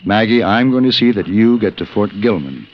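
An actor playing a stoic, duty-bound western gunfighter in a 1950s radio drama, speaking in a grave, measured way. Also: male voice, fairly low pitch, animated, close to an everyday voice. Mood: firm. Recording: muffled vintage radio recording.

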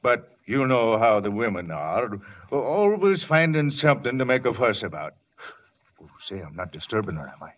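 An actor playing a chatty, folksy off-duty police sergeant and nosy neighbor in an old-time radio drama, rambling and colloquial. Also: male voice, medium pitch, very animated, normal speed. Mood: folksy, insistent. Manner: rambling, colloquial, chuckling, hesitant openers. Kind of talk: 1940s-50s old-time radio acting. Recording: dull old radio broadcast recording.

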